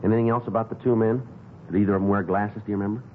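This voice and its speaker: A man playing a terse, methodical police detective in a 1950s radio drama, clipped and deadpan as he questions and narrates. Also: fairly low pitch, rapid-fire, narrow, tinny audio, a little hiss or hum, lightly acted. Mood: deadpan, probing. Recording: thin 1950s radio recording.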